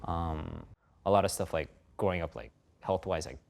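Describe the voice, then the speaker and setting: A man in his thirties speaking haltingly, with many fillers, about his body. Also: deep voice, creaky, a little mellow. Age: 30s. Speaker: participant. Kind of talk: candid conversation.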